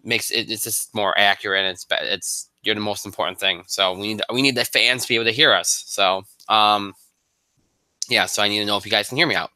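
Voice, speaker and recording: A man's voice: steady tone, fairly quick, sharp tone, no echo. Congested man hosting a sports show. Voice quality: high voice for a man. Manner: rambling with many fillers and restarts. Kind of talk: live solo sports show.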